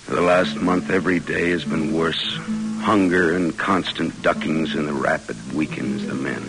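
An actor playing a stern, short-tempered Major leading an expedition in an old-time radio drama. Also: male voice, extremely low pitch, steady tone, moderate pace, gravelly, rough recording. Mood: weary, reflective. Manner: brooding in narration, explosive and domineering in command. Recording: old radio recording with music.

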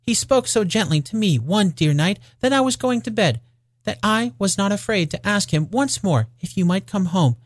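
A man's voice: high voice, animated, normal speed, dry recording, clean studio sound, pitched above the performer's own voice. A man voicing a loving, gleeful little girl, eager and affectionate, in a storybook reading.

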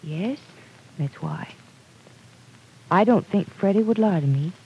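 A woman's voice: on the low side, expressive, slow, darkish tone, slight background noise, rough recording. An actress playing an earnest, stubbornly loyal young woman in an old-time radio soap opera. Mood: quiet, defiant.

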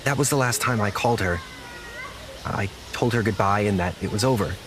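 An actor playing a naive, apologetic young zookeeper in an audio drama, speaking earnestly with halting stammers. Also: male voice, lowish voice, background noise, lightly acted. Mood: regretful. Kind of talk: naturalistic audio drama acting.

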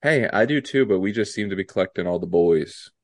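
A young man with a stuffy, congested nose co-hosting a casual podcast chat, halting with many ums and restarts. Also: low voice, animated, no room sound, studio quality. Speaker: podcast co-host.

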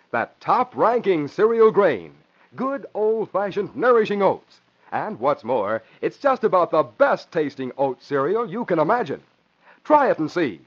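A man announcing in emphatic, dramatic 1940s radio style, reading scripted narration and ads. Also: on the high side. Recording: muffled old radio, faint hiss.

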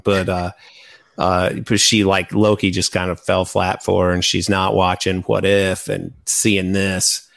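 This is a man with a fairly low, gravelly voice full of vocal fry. He co-hosts a podcast and shares his takes in casual fan chat. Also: fairly bright tone. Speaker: podcast co-host.

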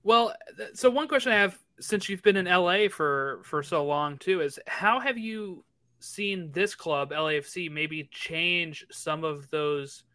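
A male co-host asks his guest questions in casual conversation. His voice sits fairly high and sounds bright and crisp, with a dry, close recording and little room sound. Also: a little slow, no room sound. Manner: conversational, checks in with "right?". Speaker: podcast co-host. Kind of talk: casual chat, asking questions.

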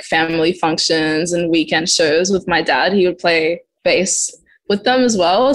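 Bubbly young woman, laughing often. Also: on the low side. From Zimbabwe. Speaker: guest musician. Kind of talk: casual interview over video call. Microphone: video call.

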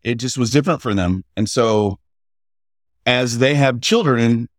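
A middle-aged man, a podcast guest, telling family stories in a halting, conversational way without a regional accent. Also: medium pitch, dry recording, studio quality. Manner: halting, with many fillers and restarts. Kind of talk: casual interview storytelling.

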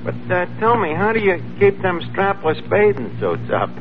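Cocky new york bartender, slangy wisecracker, highish voice, muffled hissy old radio show. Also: male voice, sing-song, moderate pace. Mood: cocky, flirtatious. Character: wisecracking, uneducated New York barkeep, brash would-be ladies' man. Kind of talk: 1940s radio comedy acting. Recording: noisy, muffled vintage radio broadcast.

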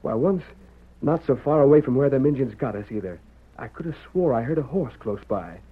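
A man's voice: medium pitch, lively intonation, a little fast, husky. An American actor playing an exhausted, dutiful frontier husband and father with a folksy Western drawl, in old-time radio style. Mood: weary, puzzled. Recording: muffled narrowband vintage radio recording.

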